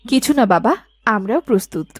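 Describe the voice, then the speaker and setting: A woman voicing a cocky, dismissive young elder sister in an animated fairy tale. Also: a little high, moderate pace, breathy edge. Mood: confident.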